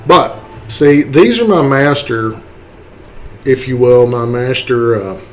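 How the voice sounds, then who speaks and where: A man giving a casual, step-by-step software walkthrough, informally checking in with okay and right as he goes. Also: medium pitch, lively intonation, takes it slowly, soft background music. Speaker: tutorial presenter. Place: indoors, voice-over for a screen recording.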